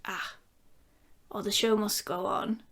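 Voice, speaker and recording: A woman's voice: medium pitch, fairly even intonation, slow pace, a bit bright. Giggly, rambling woman chatting to camera. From England. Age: 30s. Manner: breaks into bits of song. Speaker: YouTuber answering viewer questions. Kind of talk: casual Q&A chat to camera.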